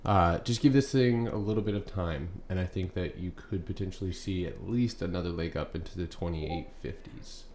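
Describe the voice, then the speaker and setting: A man's voice: low-pitched, moderate pace, few pauses, a little mellow. A young man hosting a live stock trading stream, talking casually and rambling with many ums and uhs. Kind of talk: live market commentary. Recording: echoey room, faint background noise.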